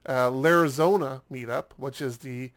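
A man's voice: mid-range voice, moderate pace, fairly bright tone. A man co-hosting a podcast in casual chat, still congested from a cold and rambling, repeating words as he restarts. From United States. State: congested, getting over a cold. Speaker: podcast co-host.

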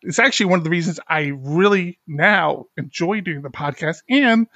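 A middle-aged man hosting a podcast in a candid, halting way, with a slight speech impediment and many restarts. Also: fairly high pitch, expressive, fairly bright tone, dry recording, dull audio. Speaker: podcast host. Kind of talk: casual chat.

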